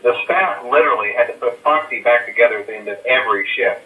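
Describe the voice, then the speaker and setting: A man's voice: medium pitch, fast, bright, forceful tone, far from the mic, lightly acted. A man playing a hesitant phone caller who leaves rambling, reassuring messages full of "uh" fillers. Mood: easygoing. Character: nervous male night-guard phone caller. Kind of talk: recorded game voice lines. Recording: muffled echoey phone-message recording.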